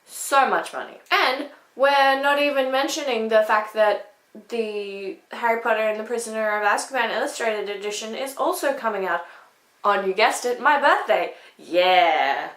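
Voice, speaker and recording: Woman doing chatty book reviews, kinda high voice, really emphatic, roomy echo on the audio. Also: moderate pace, a bit bright. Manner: emphatic, repeats words for emphasis. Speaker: book vlogger. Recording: echoey room.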